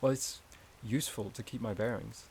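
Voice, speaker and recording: A man playing a gentle, shy, caring forest companion in a soft-spoken ASMR roleplay, with a hesitant, slightly stammering delivery. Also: lowish voice, steady tone, normal speed, creaky, a little hiss or hum. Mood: quietly enchanted.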